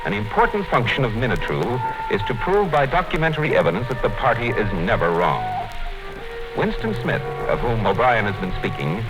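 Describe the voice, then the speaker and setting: Grave 1950s radio narrator. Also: male voice, medium pitch, sing-song, rough recording. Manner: grave, deliberate. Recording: old radio broadcast with music.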